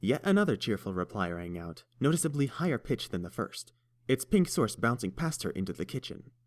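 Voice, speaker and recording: A man narrating a story in a steady, even storytelling manner. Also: medium pitch, a little fast. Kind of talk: fan audiobook narration.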